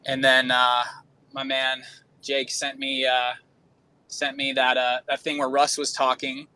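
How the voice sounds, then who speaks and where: A man's voice: medium pitch, completely flat delivery, measured pace, pushed and bright, clean tone, poor audio. A man talking casually as a guest musician, in an informal, rambling personal monologue full of slangy filler phrases. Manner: informal, rambling, frequent "dude" and "you know".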